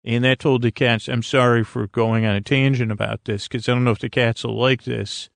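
A man hosting a sleep podcast with a whimsical, meandering monologue, hesitant and often trailing off mid-sentence in a creaky voice. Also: medium pitch, level tone, fairly quick, no room sound. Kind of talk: rambling whimsical bedtime monologue.

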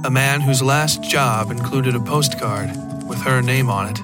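A man reading scripted horror fiction as a podcast narrator, in a deliberate, literary storytelling manner. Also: medium pitch. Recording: prominent music behind the voice. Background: music.

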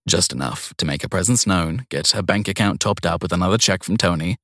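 A man reading a scripted first-person crime story in a measured storytelling manner, with dramatic pauses. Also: low voice, fairly bright tone, no echo, clean studio sound. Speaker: narrator of a short crime story.

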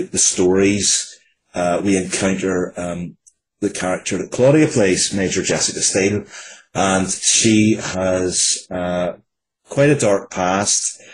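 Very low-pitched man explaining in interview. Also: a little hiss or hum, poor audio. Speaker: writer guest.

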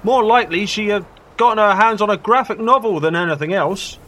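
Man acting a high, sharp-voiced suspicious friend. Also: fairly quick, slight background noise. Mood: accusing. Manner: probing, pointed, accusing. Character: Colin, suspicious, worried male old friend confronting a companion. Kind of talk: audio drama dialogue.

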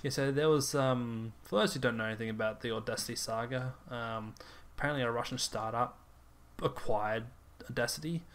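An Australian young man co-hosting a podcast at a middle pitch, talking in a loose, rambling way and laughing often. He sounds a little tired after work. Faint background noise sits under the voice. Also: fairly quick, a bit bright. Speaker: podcast co-host. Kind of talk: casual chat.

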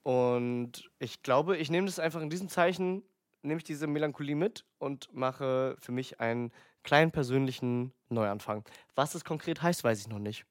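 A man's voice: mid-range voice, moderate pace, dry recording, clean studio sound. A man bantering casually as a podcast co-host, with a playful, teasing, ironic way of talking.

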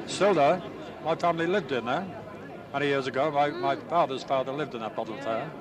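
A man's voice: mid-range voice, fairly quick. A man born in Ireland, speaking in a chatty, matter-of-fact way outdoors among a crowd. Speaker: war veteran interviewee.

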